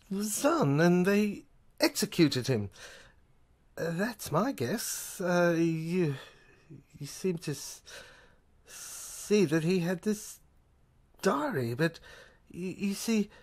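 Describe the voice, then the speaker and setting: A speaker in an amateur audio drama, explaining things earnestly in a halting, stammering way with repeated phrases. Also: medium pitch.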